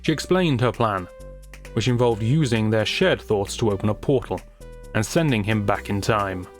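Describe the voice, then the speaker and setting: A man narrating a scripted story in a wry, theatrical manner with deliberate phrasing. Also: on the low side, expressive. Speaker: creator and narrator. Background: music.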